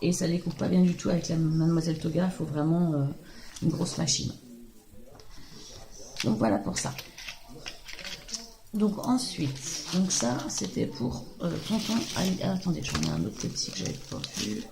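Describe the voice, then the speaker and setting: A woman with a hoarse voice from a cold, chatting casually and rambling with many fillers as she shows off her craft purchases at home. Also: deep voice, lively intonation, moderate pace, pauses often, background noise. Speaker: craft video host. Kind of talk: casual show-and-tell haul.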